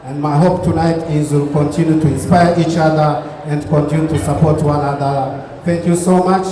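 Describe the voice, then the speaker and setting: A man's voice: on the high side, fairly even intonation, moderate pace, mellow. A man with a Ugandan accent giving an earnest keynote speech at a fundraising gala, building his points through repeated phrases. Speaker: keynote speaker. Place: banquet hall. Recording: echoey hall with long reverb.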